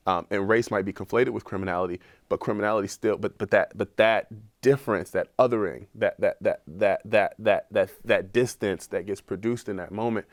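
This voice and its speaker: A man's voice: fairly low pitch, no echo, clean studio sound. A middle-aged man with a cold, talking earnestly in a podcast conversation and repeating words as he searches for his point. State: has a cold, sniffling. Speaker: guest researcher.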